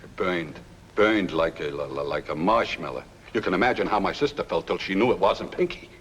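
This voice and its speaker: An actor playing an exasperated, long-suffering trucking boss in a 1950s film, grumbling sarcastically and repeating himself. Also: male voice, sits low, brisk, fairly bright tone. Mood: rueful. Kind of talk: 1950s film comic character acting. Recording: reverberant, noisy old film soundtrack.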